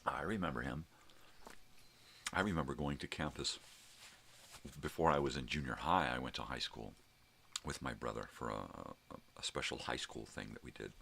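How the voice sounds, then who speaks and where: A middle-aged man with a gravelly voice, rambling casually as he tells stories with swearing and emphatic bursts. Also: extremely low pitch, a little slow, pauses often, pushed and bright, a little hiss or hum. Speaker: host of a daily personal vlog.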